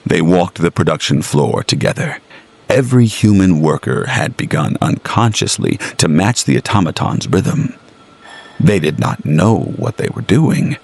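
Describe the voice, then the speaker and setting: Low, gravelly male storyteller. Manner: grave, deliberate. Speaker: narrator. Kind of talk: scripted gothic story narration.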